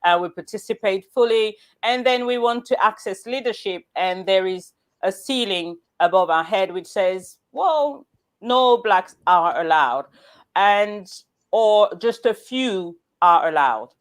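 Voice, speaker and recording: A woman hosting a discussion, putting questions to guests deliberately, phrase by phrase. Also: medium pitch, lively intonation. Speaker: host. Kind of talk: panel moderation, questioning.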